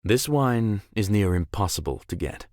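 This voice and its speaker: Man playing a suave billionaire, very lively low voice. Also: moderate pace, no room sound, studio quality, lightly acted. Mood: proud, smooth. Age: young adult. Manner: formal, polished, theatrical phrasing. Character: suave, wealthy, self-assured 29-year-old billionaire man. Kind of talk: visual novel character acting.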